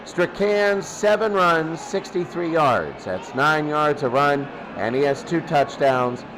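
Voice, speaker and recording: A man's voice: on the high side, lively intonation, slow pace. A man calling college football on the radio, giving plays and stat recaps. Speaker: play-by-play radio sports announcer. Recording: clear background noise.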